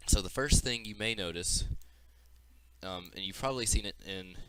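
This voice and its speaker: A man's voice: on the low side, moderate pace, a bit bright, smooth. A man giving an informal, unscripted walkthrough of a game demo as its developer, explaining as he goes, with audible breathing into the mic.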